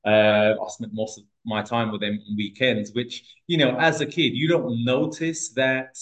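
A man's voice: medium pitch, steady tone, measured pace, low-quality recording. A man from Portugal in his thirties, a podcast guest telling his life story in a casual, hesitant way with many restarts and fillers.

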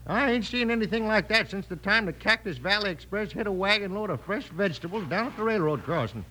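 An actor playing an exasperated Old West rancher in a 1950s radio western, with an American accent and a stammering drawl. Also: male voice, high voice, lively intonation, quick pace, sharp tone, a little hiss or hum, poor audio. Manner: hesitant, stammering drawl with comic exclamations.

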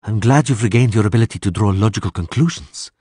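A man's voice: on the low side, mellow, breathy edge, no echo. A man voicing a sardonic elf prisoner in a fantasy audiobook, precise and dryly sarcastic. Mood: gloomy, sardonic. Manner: dry sarcasm, measured, precise wording. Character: sardonic male elf prisoner, sneering, coolly articulate.

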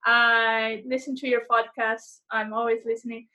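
A woman's voice: fairly high pitch, little pitch movement, normal speed, pushed and bright, faint music behind. A woman in her thirties from Brazil with a Brazilian accent, a fitness instructor talking as a guest over a call, often hesitant and repeating words as she searches for English. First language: Portuguese. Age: 30s. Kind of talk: casual interview chat.

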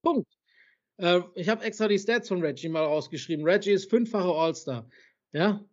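A man's voice: sits high, normal speed, dull audio. A man in a casual podcast chat, passionately reeling off sports stats, swearing now and then for emphasis, with many fillers and tag questions. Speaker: podcast co-host. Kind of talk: casual basketball debate.

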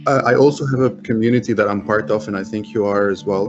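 A man from Egypt speaks in a low, earnest voice as an interview guest, often stopping and restarting his sentences. The audio is dull and lo-fi, and music plays clearly behind him. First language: French. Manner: earnest, halting with many restarts. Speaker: interview guest, business owner. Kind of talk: casual interview talk.

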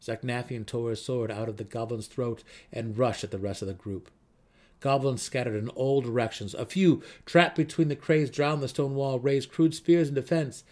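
A man's voice: medium pitch, moderate pace, darkish tone. A man narrating a fantasy story in a dramatic reading style.

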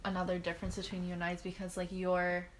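A young woman in a casual group chat who joins in with quick, chatty remarks. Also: lowish voice, completely flat delivery, a little hiss or hum. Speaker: conversation guest. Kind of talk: casual conversation.

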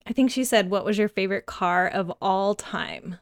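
A woman hosting a podcast in a casual, giggly, expressive, informal interview style. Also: medium pitch, normal speed, no room sound. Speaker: podcast host.

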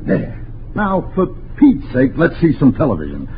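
A man's voice: fairly high pitch, huge pitch swings, normal speed. An actor playing a flustered, grumbling middle-aged father and husband in a 1940s radio comedy. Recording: tinny, noisy 1940s radio broadcast.

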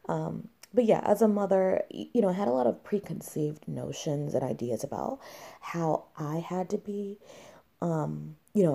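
Low, gravelly-voiced woman podcaster. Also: dark tone. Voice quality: nasal from congestion. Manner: halting, many fillers and restarts. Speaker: podcast host. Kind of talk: candid solo podcast talk.

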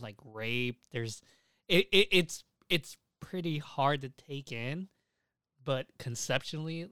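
A man's voice: mid-range voice, pushed and bright, smooth, no room sound, studio quality. A young man chatting casually as a podcast host, emphatic and opinionated, repeating himself and swearing freely.

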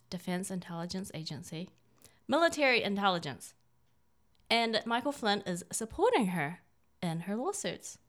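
A woman's voice: medium pitch, fairly bright tone. A woman from China co-hosting a conversational political talk show, speaking in an emphatic, opinionated way. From born in China.

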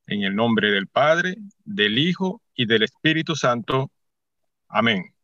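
An actor playing a solemn priest officiating a church wedding in a radio drama, in measured, ceremonial speech. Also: male voice, medium pitch, lively intonation, sharp tone, no echo, lo-fi sound.

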